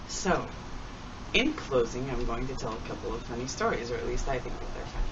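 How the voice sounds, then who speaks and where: A woman vlogger telling stories in a rambling, folksy, chatty way with homespun phrases. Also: extremely low pitch. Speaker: vlogger doing a tag video. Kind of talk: rambling casual storytelling. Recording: distant mic, dull sound, noisy background.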